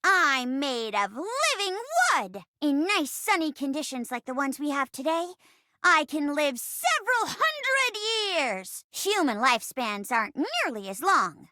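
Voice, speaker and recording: A woman's voice: high voice, sing-song, measured pace, sharp tone, no echo, studio quality, exaggerated voice. A woman voicing a small, earnest, plucky young female forest sprite in a video game, speaking in grand, melodramatic mock-archaic phrases. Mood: cheerful, matter-of-fact. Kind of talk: theatrical fantasy role-play in a video game.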